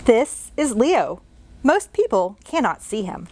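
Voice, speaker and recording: Lively woman reading a picture book aloud. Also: a little high, slow, a little hiss or hum. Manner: animated, talks to young listeners with questions. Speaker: children's librarian.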